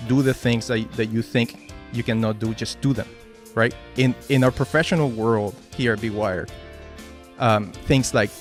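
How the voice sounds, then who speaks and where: Spanish-accented man giving a halting pep talk. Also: mid-range voice, steady tone, soft background music. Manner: halting, many restarts and "right?" tags. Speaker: team lead giving a motivational talk. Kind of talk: informal motivational talk at a work meeting.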